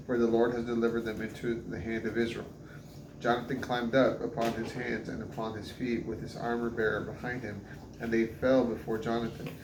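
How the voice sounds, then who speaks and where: A man reads scripture aloud plainly in a flat, even tone at a middle pitch. He occasionally stumbles. The room echoes and there is clear noise behind him. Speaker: scripture reader. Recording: roomy, noisy home recording.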